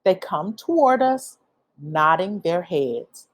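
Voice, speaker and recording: A woman reading a children's picture book aloud, with expressive, deliberate phrasing for young listeners. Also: on the low side. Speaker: read-aloud storyteller.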